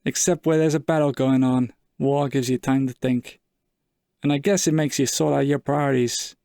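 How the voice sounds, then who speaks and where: An actor playing a world-weary, wry 1940s private investigator in a hardboiled noir audio drama, deadpan and measured. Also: male voice, mid-range voice, gravelly, natural voice. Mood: brooding, wry. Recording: saxophone music under the voice.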